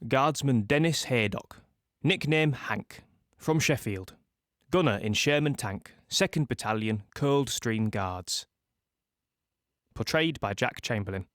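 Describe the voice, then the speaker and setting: Unhurried man, close dry recording. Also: medium pitch, animated, fairly bright tone, dry recording. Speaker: actor announcing a character. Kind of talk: scripted cast introduction.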